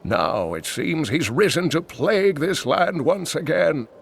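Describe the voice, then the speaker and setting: An actor playing an old male scholar who tells lore in a slow, measured way. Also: on the high side, lively intonation, creaky, slight background noise. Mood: grave. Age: older.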